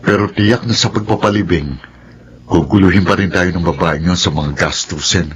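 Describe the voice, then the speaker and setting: An actor playing a steady, dutiful young man in a radio drama, speaking calmly and offering advice. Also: low voice, normal speed, slight background noise. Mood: earnest. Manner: calm, advising. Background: faint music.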